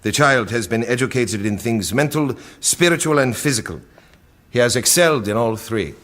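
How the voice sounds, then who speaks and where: A man acting in an audio drama as a cold, efficient project director, delivering a briefing in a precise, deliberate way. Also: medium pitch, animated, a bit bright, close to an everyday voice. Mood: matter-of-fact.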